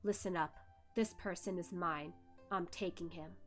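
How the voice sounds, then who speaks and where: Woman putting on a low, icy male master. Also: fairly even intonation, unhurried, fairly bright tone, faint music behind. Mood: simmering irritation. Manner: terse, icy, disdainful. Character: cold, composed, curt male immortal master.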